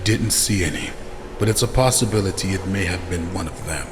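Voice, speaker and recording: A man voicing a calm, watchful vampire clan elder who gives measured, authoritative orders in a scripted fantasy drama. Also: deep voice, natural voice. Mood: measured, commanding. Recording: long reverb, faint background noise.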